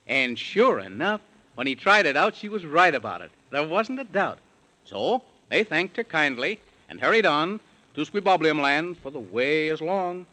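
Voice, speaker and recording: A man's voice: a little high, big pitch swings, moderate pace, bright, forceful tone, rough recording. A man narrating a 1930s radio children's serial in rhyme, with a theatrical sing-song storytelling delivery.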